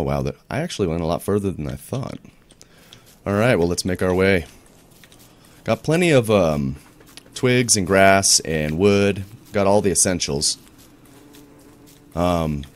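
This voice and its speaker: Male gaming host, very low deep voice, easygoing rambling let's-play narration. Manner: rambling, searches for words, laid-back. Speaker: gaming YouTuber. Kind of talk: casual let's-play commentary.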